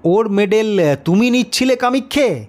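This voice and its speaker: A stern schoolmaster, played by a male storyteller speaks to his class with a raised pitch. He scolds and questions his pupils with brisk authority and curiosity, in an audio-story manner. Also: animated, darkish tone.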